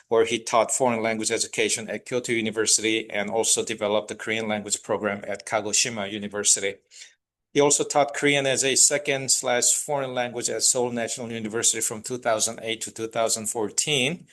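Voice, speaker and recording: A man's voice: mid-range voice, fairly bright tone. A man hosting a lecture evening, introducing the guest speaker with many hesitations. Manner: hesitant, many fillers, reading out credentials.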